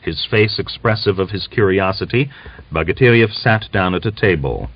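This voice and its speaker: A man's voice: low voice, moderate pace, dry recording. A man giving a scripted literary narration as an audiobook reader. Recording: dull lo-fi audiobook recording.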